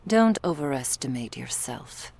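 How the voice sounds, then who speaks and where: A woman's voice: rumbling low, very animated, unhurried, creaky, darkish tone, slightly rough, exaggerated voice. A woman playing a stern, protective female vampire bodyguard in an ASMR roleplay, cool and clipped, often close to a whisper. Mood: stern, dismissive.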